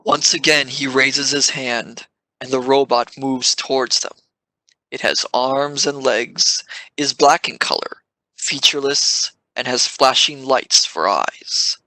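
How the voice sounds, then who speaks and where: A man's voice: medium pitch, slow pace, bright, forceful tone, no room sound, rough recording. A man reading scripted scene narration for an audio drama.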